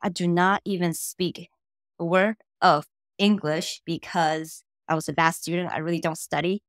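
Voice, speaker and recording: An animated young woman chats casually as a podcast co-host, her Mandarin. Her voice sits slightly low, and the sound is dry and close, caught by a laptop webcam mic at home. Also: normal speed, no room sound. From Taiwan. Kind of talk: casual chat. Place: small bedroom at home. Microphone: laptop webcam on a video call.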